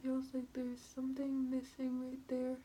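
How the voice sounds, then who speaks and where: A young woman talking through her makeup routine in a slow, rambling way. She is sniffly from a cold. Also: high-pitched, completely flat delivery, mellow, a little hiss or hum. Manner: slow, rambling, filler-heavy commentary while applying makeup. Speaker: beauty vlogger. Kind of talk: makeup tutorial chat.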